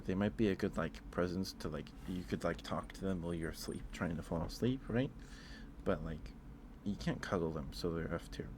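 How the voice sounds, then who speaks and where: Male ASMR streamer, deep register, informal halting delivery, audibly noisy recording. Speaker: live streamer. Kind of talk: casual ASMR livestream chat.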